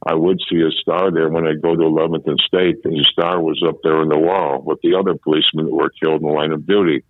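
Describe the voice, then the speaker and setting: Older man, seventies, very low voice, halting storyteller, dry phone-line sound. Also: steady tone, slight background noise. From Chicago, USA. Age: 70s. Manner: halting, repeats and restarts phrases. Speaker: interviewee, former policeman. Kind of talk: reminiscing in a phone interview. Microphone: telephone.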